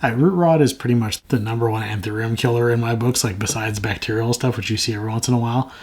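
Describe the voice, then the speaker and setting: A man's voice: medium pitch, quick pace, a little mellow, slightly rough. A man with a sore throat, chatting casually and frankly to camera as the host of a plant channel. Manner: rambling, frank, self-mocking.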